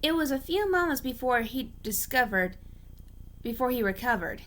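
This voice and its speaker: A woman narrates a story as an amateur reader. Her voice is fairly high and sharply bright. She often stumbles and goes back over a phrase. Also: sing-song, normal speed. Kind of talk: storybook narration read aloud.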